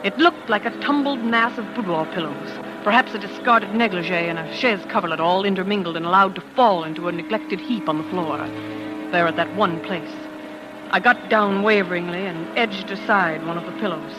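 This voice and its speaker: An actress playing a shaken young wife who narrates a murder discovery in a hushed, dramatic 1940s radio style. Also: female voice, medium pitch, normal speed, close to an everyday voice, low-quality recording. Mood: haunted, tense. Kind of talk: 1940s radio acting, first-person suspense narration. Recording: old radio recording with music underneath.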